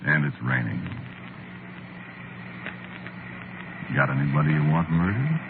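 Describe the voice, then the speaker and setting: An actor playing a weary, meek, haunted man who confesses in a halting, deliberate way, in the style of 1940s radio drama narration. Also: very deep voice, big pitch swings, background noise, exaggerated voice. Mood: ominous, quiet. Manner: hushed, deliberate, halting, conversational. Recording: muffled vintage radio recording, music behind.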